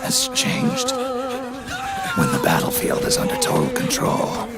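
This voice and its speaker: Over loud music comes a grim old soldier narrating a video game. His voice is a hoarse rasp, weighty and deliberate, with big swings in pitch. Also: huge pitch swings, heavily acted. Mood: ominous, bitter. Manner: slow, grave, deliberate delivery. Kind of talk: scripted dramatic game narration. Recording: loud orchestral score behind voice.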